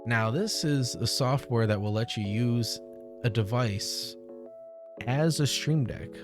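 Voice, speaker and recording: A young man hosting a casual software tutorial, speaking informally into a close desk microphone. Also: medium pitch, slow. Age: young adult. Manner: informal, conversational. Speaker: tutorial host. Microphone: close desk microphone on boom arm. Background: music.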